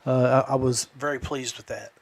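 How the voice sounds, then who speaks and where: A man's voice: medium pitch, steady tone, moderate pace, vocal fry, a little hiss or hum. A man hosting a podcast, chatting casually and addressing guests and listeners. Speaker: podcast host. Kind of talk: casual podcast chat and sign-off.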